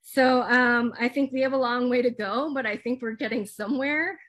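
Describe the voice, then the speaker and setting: A young American woman answering interview questions about herself, halting and full of fillers and restarts. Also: fairly high pitch, fairly even intonation, a bit bright. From United States. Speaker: guest.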